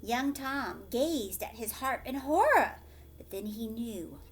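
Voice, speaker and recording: A woman teacher reading a storybook aloud to young children, dramatic and expressive, with hushed questioning asides. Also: fairly high pitch, slow pace, a little hiss or hum.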